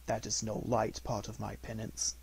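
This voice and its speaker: A man voicing the anguished ghost of a dead miserly businessman in a storybook, lamenting solemnly and slowly, from hushed to loud. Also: on the low side, monotone, vocal fry, husky, heavily acted, poor audio. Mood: solemn warning.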